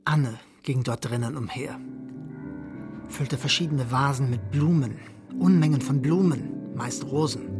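An actor playing a brooding betrayed husband who narrates his story in a radio drama, in a measured, restrained way. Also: a little high. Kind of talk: literary first-person radio drama narration.

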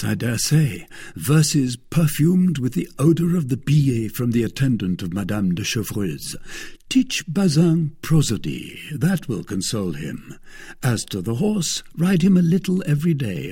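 A man's voice: medium pitch, sing-song, moderate pace, mellow, breathy edge, pitched above the performer's own voice, exaggerated voice. A man voicing a confident, generous young soldier in a storybook, breezy and teasing. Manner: breezy, bantering, assured. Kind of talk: storybook character dialogue.

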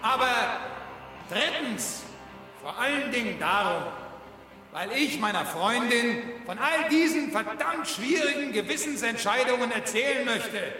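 Shouty high-voiced space cop in cavernous echo. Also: male voice, expressive, unhurried, full character voice. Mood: self-righteous. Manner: shouty, self-righteous, speechifying. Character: cocky trigger-happy male space cop posing as sensitive liberal. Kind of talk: comic science-fiction radio drama acting. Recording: echoing hall reverb, music behind.

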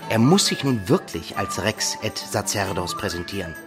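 An actor playing a blunt, irreverent medieval student companion in a radio drama. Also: male voice, fairly low pitch, sing-song, moderate pace, music in the background. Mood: urging, emphatic. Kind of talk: German radio drama acting.

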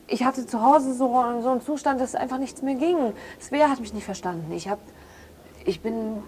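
An actress playing an agitated, grieving woman who confesses hatred, halting and repeating words as in a real interview. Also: on the high side, a little mellow, a little hiss or hum, close to an everyday voice. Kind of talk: naturalistic interview-style radio drama.

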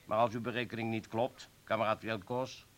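A man's voice: fairly low pitch, flat delivery, fairly quick, lightly acted. An actor playing a principled, indignant comrade in a radio play, firm and reproachful as he reasons. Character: principled male comrade, indignant, defending an accused doctor.

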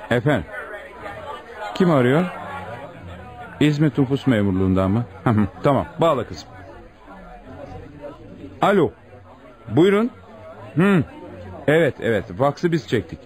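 An actor playing a cautious, courteous registry office manager in a radio drama, formal and deliberate, often hemming. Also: male voice, mid-range voice, sing-song, darkish tone, a faint crowd. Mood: businesslike. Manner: hesitant hems, formal, deliberate.

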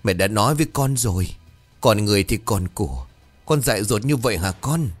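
A man voicing a sharp-tongued older village woman who wails and scolds loudly in an audio story. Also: on the low side, animated, a little fast, husky, faint music behind. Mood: bitter wailing. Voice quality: loud, ringing. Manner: wailing, accusatory. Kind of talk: dramatic audio-story dialogue.

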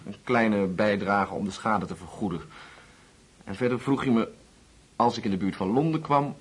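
A man's voice: lowish voice, slightly rough. An actor playing a nervous, apologetic young man who brings bad news in a Dutch radio play, hesitant and earnest.

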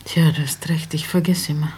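An actress playing an anxious, humble old mother in a German radio drama, homely and hesitant, with a raspy voice. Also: low-pitched, steady tone, a little fast, darkish tone. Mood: wistful, frail. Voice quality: raspy, creaky.

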